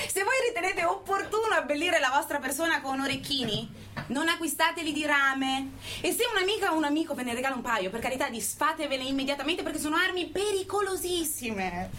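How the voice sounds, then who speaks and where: A woman playing an ironic activist in a theatrical radio monologue, halting and mock-earnest, with ambient noise behind her. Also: sits high, a bit bright. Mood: mock alarm. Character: ironic arrested woman activist giving absurd mock warnings.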